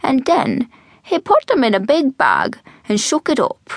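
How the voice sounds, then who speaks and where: A woman voicing a mischievous, wheezy old male storyteller in an audiobook, slow and conspiratorial, slipping into whispers. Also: high-pitched, huge pitch swings, dry recording, clean studio sound, pitched above the performer's own voice, full character voice. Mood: amused, conspiratorial. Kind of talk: audiobook character voice.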